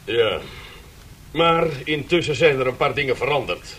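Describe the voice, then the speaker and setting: An actor playing an evasive, courteous Soviet envoy in a 1970s radio drama, formal and polite. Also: male voice, mid-range voice, animated, normal speed, a bit bright, breathy edge. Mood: firm.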